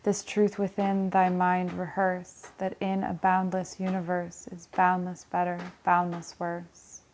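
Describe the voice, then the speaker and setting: A woman voicing a calm, sorrowful inner voice that tempts the speaker, in a soft and even recitation. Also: on the low side, a little slow, slight background noise, lightly acted, low-quality recording. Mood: quietly persuasive.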